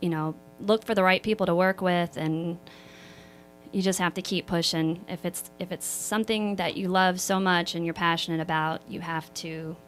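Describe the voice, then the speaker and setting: A woman singer-songwriter with a slightly hoarse voice, talking modestly in a radio interview. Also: lowish voice, steady tone, normal speed, slight background noise. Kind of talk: casual radio interview chat.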